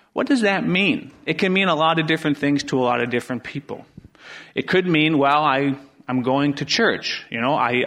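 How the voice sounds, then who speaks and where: A man's voice: medium pitch, a bit bright. A middle-aged man from Wisconsin preaching a Sunday sermon in a church, with a conversational, rambling delivery full of restarts. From Wisconsin, USA. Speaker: pastor. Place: church sanctuary.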